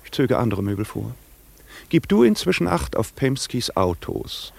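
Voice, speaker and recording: An actor playing an urbane, icily polite husband with a gravelly, husky voice and dry, ironic manner, in 1950s German radio drama style. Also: male voice, mid-range voice, big pitch swings, normal speed, full character voice. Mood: sardonic, cool.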